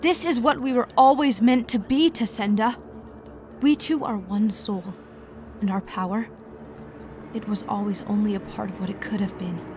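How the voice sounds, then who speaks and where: A woman's voice: medium pitch, moderate pace, a little mellow, lightly acted. A woman playing an embittered, obsessive young villainess in a fantasy audiobook, intense and self-justifying. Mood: bitter, intense. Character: embittered, obsessive young woman, dangerous, power-hungry twin sister. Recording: clear ambient noise behind voice.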